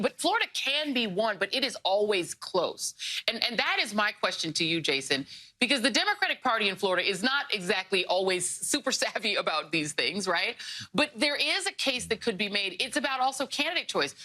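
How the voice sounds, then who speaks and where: A woman hosting a cable news panel, quick and wry, pushing her guests with pointed questions. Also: medium pitch, pushed and bright. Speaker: news show host.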